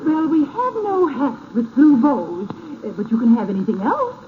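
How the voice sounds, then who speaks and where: A polite, eager-to-please saleswoman, high and lilting with lively pitch. She coaxes patiently in 1930s radio comedy style, through a tinny, narrow old broadcast sound. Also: moderate pace, slight background noise. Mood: courteous, coaxing. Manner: courteous, coaxing.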